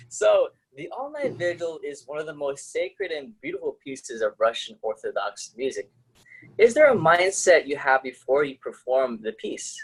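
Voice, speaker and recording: A man's voice: on the high side, huge pitch swings, measured pace, gravelly, husky, low-quality recording. A man hosting a casual interview, asking questions and chatting with his guest. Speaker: interviewer, host.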